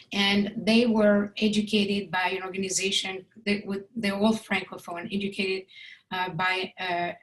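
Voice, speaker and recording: An older woman from Egypt whose mother tongue is French, telling her family history in an interview, reflective and articulate, often pausing to correct herself. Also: medium pitch, low-quality recording. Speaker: interview guest. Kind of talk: personal family history storytelling.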